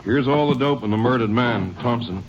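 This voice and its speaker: An actor playing a businesslike sheriff, brief and folksy, in a 1950s radio crime drama. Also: male voice, mid-range voice, brisk, close to an everyday voice. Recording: noisy, muffled vintage radio recording.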